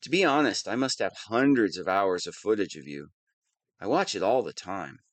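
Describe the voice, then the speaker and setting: A hapless wannabe super-villain, acted by a man in an audio roleplay, with a lowish voice that swoops up and down dramatically. He whines and pities himself, soft-hearted under the villain act. The sound is close and dry. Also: lively intonation, normal speed, no room sound, lightly acted. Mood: earnest, rueful. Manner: whiny, self-pitying, dramatic swings. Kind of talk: scripted one-sided audio roleplay monologue.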